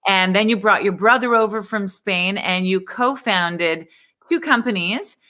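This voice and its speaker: A woman's voice: medium pitch, a little slow, sharp tone. A middle-aged woman hosting a livestream interview as a speaking coach, upbeat and chatty.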